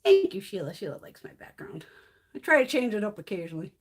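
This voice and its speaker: A middle-aged woman hosts a casual beauty live stream and rambles through stories with loose, lively swings in her voice. Her tone is husky and slightly worn, as if she is starting to lose her voice, and she swears freely and laughs a lot. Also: medium pitch, fast, soft background music. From Connecticut, USA. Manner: swears freely, laughs often, chatty storytelling.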